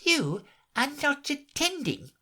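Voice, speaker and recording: A man's voice: very high voice, very animated, slow, voice pushed up, full character voice. Man voicing a touchy, severe mouse. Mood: indignant. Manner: severe, sharp. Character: proud touchy mouse, easily offended.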